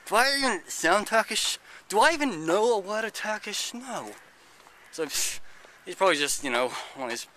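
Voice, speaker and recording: A man's voice: a little high, pushed and bright, a little hiss or hum. A man in his thirties chatting casually while walking through a park, filming himself at arm's length, rambling with lots of fillers. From United Kingdom. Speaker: travel vlogger. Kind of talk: casual walking tour commentary. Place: outdoors in a city park and fortress. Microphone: handheld camera at arm's length.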